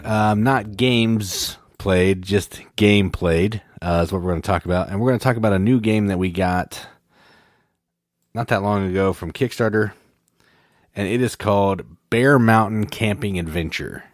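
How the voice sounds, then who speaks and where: A man's voice: fairly low pitch, moderate pace, dry recording, clean studio sound. A man co-hosting a podcast, explaining game rules in casual chat, rambling and correcting himself. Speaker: podcast co-host.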